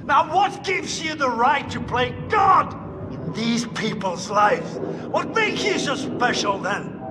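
A man's voice: very high voice, sing-song, slow pace, heavy background noise, full character voice. An actor playing an outraged, unhinged middle-aged man who rants accusingly in a horror game. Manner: ranting, accusatory. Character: outraged, unhinged psychiatrist berating a tormentor. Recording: long echoing hall, music behind.

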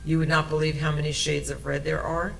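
A woman's voice: low-pitched, little pitch movement, brisk, creaky, a little hiss or hum. A woman in her sixties giving a conference presentation at a podium in a hotel meeting room, talking conversationally with frequent fillers and restarts. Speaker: transit project presenter. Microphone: podium microphone.

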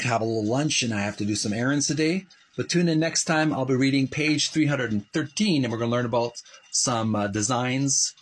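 A middle-aged man with a slightly rough, husky voice, hosting a video channel in a deliberate, emphatic way as he reads aloud and talks to viewers. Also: medium pitch, sharp tone. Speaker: host of a video channel reading a book aloud. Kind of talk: reading aloud and chatting to viewers.

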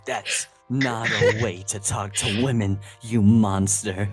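A man's voice: fairly low pitch, fairly even intonation, takes it slowly. A man voicing a sassy, crude, erratic cartoon hedgehog in an improvised comedy fan dub, sarcastic and mock-dramatic. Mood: mock outrage. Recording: music behind the voice.

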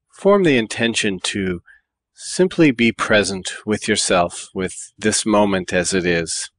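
A man leading a guided meditation in a calm, measured way, with deliberate phrases and pauses between them. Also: fairly low pitch, no echo. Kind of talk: guided body scan meditation.

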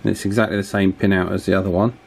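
A man's voice: deep voice, little pitch movement, racing, darkish tone. A man giving a casual hands-on repair demonstration, thinking aloud with some hesitation. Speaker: electronics repair hobbyist presenter. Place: small indoor office workshop. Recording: clear background noise, wind from fan. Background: table fan wind noise.

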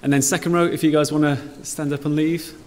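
A man's voice: on the high side, little pitch movement, fast, a little mellow. Man leading a service in a hall. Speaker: church leader. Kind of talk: leading prayers and dismissal. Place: church hall. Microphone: stand mic. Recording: long hall reverb, faint noise.

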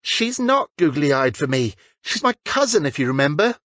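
Man voicing a touchy British teenage boy, higher pitched. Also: very animated, moderate pace, fairly bright tone, no room sound, studio quality. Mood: indignant.

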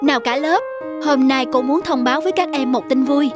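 Woman acting upbeat, high-voiced cartoon schoolteacher. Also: fairly quick, natural voice. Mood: pleased, announcing. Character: upbeat female schoolteacher addressing her class. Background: faint music.

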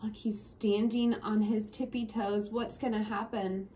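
A woman's voice: mid-range voice, little pitch movement, measured pace, warm tone. A woman in her thirties hosting an interactive read-aloud, explaining simply and asking a child leading questions. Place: small room at home, indoors. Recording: clear background noise, home camera mic.

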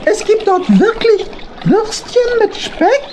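A small, earnest hedgehog wanderer in a children's audio play. The voice is extremely high, naive and plain-spoken, with clear background noise from an old record. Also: female voice, very animated, takes it slowly, heavily acted. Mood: pensive. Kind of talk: German children's audio drama acting.

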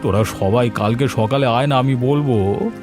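A man's voice: medium pitch, normal speed, over music, lightly acted. A man voicing a blustering, heavyset village gang boss in a cartoon fairy tale, with a melodramatic delivery. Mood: subdued pleading. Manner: threatening, melodramatic.

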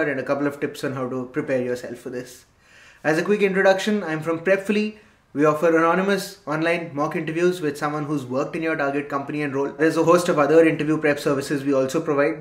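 A young man presenting interview advice in an explainer delivered to camera. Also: fairly high pitch. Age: young adult. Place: indoor room. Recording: roomy echo.

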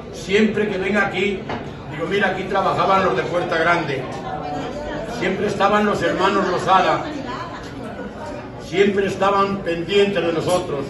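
A man's voice: high-pitched, distant mic. An older man with an accent from Spain giving an informal, heartfelt tribute speech, his voice emotional. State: emotional, choked up. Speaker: speaker giving a tribute at a farewell dinner. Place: busy restaurant dining room, indoors. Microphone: distant camera across the room. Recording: echoey room from afar, loud crowd.

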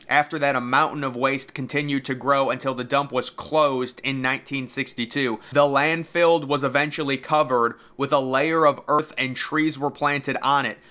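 A man narrates a history video in a conversational, informal style. His voice sits a little high, with a bright, forceful edge and a clean, crisp sound. He explains things casually and slips in his own asides. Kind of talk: casual explanatory commentary over historical images.

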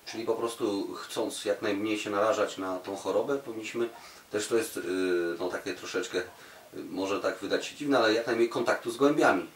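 A man's voice: fairly low pitch, a little fast, slight background noise. A man interviewing in a conversational style, putting long, rambling, informal questions. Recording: roomy echo, distant from the mic.

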